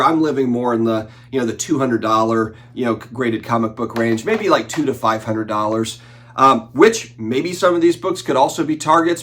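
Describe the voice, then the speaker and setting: A man's voice: mid-range voice, fairly bright tone. A man in his forties, sick with the flu and a bit nasal, hosting a collectibles channel in a casual, rambling chat with fillers and restarts. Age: 40s. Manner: conversational, frequent fillers and restarts. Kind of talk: casual talking-head commentary.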